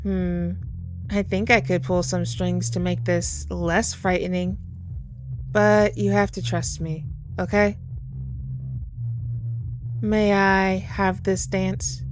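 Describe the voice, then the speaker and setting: Woman as a slow, gentle, sorrowful Grim Reaper. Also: mid-range voice, close to an everyday voice. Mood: gently coaxing. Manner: soft-spoken, tender, unhurried reassurance with pauses. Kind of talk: ASMR audio roleplay, intimate comforting monologue.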